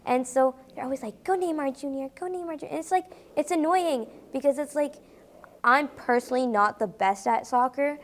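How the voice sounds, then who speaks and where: High-pitched opinionated young girl from Brazil. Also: a little fast, slight background noise. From Rio de Janeiro, Brazil. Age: child or young teen. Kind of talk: casual chat.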